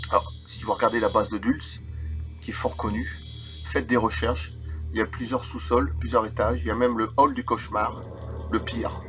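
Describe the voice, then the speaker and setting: A man's voice: a little high, fairly bright tone. A man, reading from a book aloud and adding casual, rambling asides as the host of a video channel. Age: 30s. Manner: informal, rambling, digressive asides. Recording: background music under the voice. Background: eerie film music.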